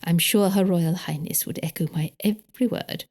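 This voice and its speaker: A woman's voice: lowish voice, normal speed, a little mellow. A woman voicing a prim, indignant older married lady with a British accent, emphatic and precise, in an audiobook. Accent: British English. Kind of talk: audiobook character dialogue.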